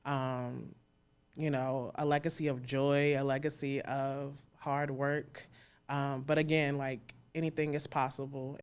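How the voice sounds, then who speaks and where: A woman's voice: extremely low pitch, little pitch movement, a little slow, noisy background. An American woman chatting informally as a guest in a live interview. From Alexandria, Virginia, United States. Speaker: interview guest, audio drama creator. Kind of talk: casual live interview talk.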